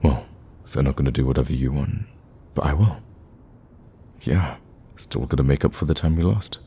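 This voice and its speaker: A man playing a lovestruck villain in an ASMR romance roleplay, awkward yet cocky, speaking softly and intimately with hesitant stammers. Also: rumbling low, normal speed, a little hiss or hum. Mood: devoted, tender.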